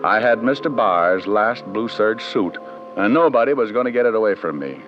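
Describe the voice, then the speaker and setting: A man's voice: on the low side, animated, normal speed, natural voice. A cocky, wry American private eye from a vintage radio drama, played by a male actor. His delivery swings freely up and down as he tosses off sardonic lines. The sound is muffled old broadcast audio with prominent music. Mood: wry narration. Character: wisecracking, cocky male private detective narrating his case. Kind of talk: 1940s-50s radio detective acting and narration.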